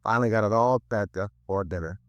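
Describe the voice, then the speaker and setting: A man's voice: lowish voice, quick pace, dry recording, highs cut off, close to an everyday voice. An actor playing a chatty male police partner in a 1950s radio crime drama, griping in a homely, colloquial way. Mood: mildly grumbling. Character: chatty male police partner, mildly grumbling domestic storyteller.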